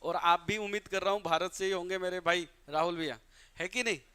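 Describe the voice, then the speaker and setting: A man from Bihar teaching English grammar in a live online class, calling students "beta", asking and answering his own questions, and reading English examples out slowly. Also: sits high, fairly even intonation, pushed and bright, smooth. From Bihar, India.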